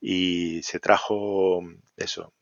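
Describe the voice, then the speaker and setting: Guest: deep, gravelly middle-aged man, rambling and self-correcting, dull dry sound. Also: flat delivery, drawn-out words, dry recording. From Venezuela. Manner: rambling, self-correcting, enthusiastic about old tech. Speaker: podcast guest. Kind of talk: casual interview chat.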